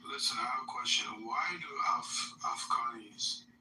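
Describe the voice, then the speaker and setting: A man's voice: mid-range voice, moderate pace, in a whisper. A man in a casual live online chat who talks bluntly and swears while he confronts callers. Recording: roomy, distant, faint noise.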